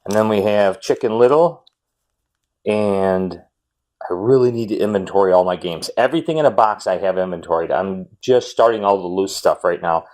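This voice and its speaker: A man's voice: normal speed, a little mellow. Chatty man rambling about games. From Wisconsin, US. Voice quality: fairly high voice for a man. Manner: chatty, meandering, frequent ums and self-corrections. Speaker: retro game collector vlogger. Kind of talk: casual rambling haul talk.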